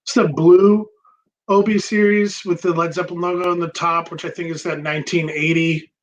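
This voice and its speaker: A man hosting a casual chat about records, relaxed and rambling with many pauses and ums. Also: fairly high pitch, a little hiss or hum. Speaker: record store host.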